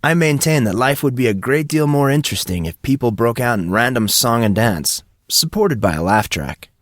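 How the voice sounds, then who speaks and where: A man's voice: mid-range voice, lively intonation, moderate pace, dry recording, studio quality, lightly acted. A man voicing a brash, movie-mad teenage schoolboy in an audiobook, casual and teasing, with slangy talk. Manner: slangy, says "bro" often.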